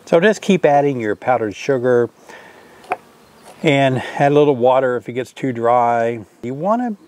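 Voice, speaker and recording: A man's voice: medium pitch, expressive, a little slow, a little mellow, slight background noise. Chatty older man teaching beekeeping. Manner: chatty, asks viewers questions. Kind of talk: casual instructional vlog. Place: outdoors by a hive, then a covered porch.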